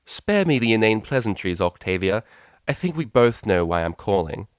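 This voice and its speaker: A man's voice: fairly low pitch, sing-song, moderate pace, no echo, studio quality. Man voicing a stern, commanding pony mother. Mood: cold, commanding. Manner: imperious, clipped, condescending.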